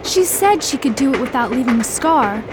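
A woman's voice: high voice, expressive, moderate pace, noisy background. A woman playing a sweet, trusting young bride-to-be in a radio drama, earnest and confiding. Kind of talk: amateur radio drama acting.

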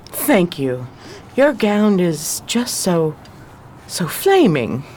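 A woman acts a polite, adventurous widow in a 1920s-set radio play. Her voice sits fairly low and moves expressively, with slight noise behind it. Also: big pitch swings, very slow, darkish tone, exaggerated voice. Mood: polite, sociable.

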